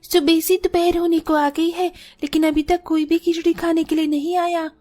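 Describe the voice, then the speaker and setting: A woman's voice: extremely high pitch, flat delivery, normal speed, dark tone. A woman playing a humble, earnest young cook in a cartoon story, speaking politely. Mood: worried.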